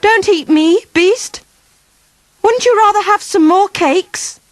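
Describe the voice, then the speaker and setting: An eager, anxious little boy from a fairy tale, acted out by a woman reader. The voice is pitched up and full of exclaiming and pleading. It is a dry recording. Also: slow pace, no room sound, faint music behind. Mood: urgent fear. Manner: breathy gasps, pleading, exclaiming. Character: small boy, pastry cook's son, eager and frightened.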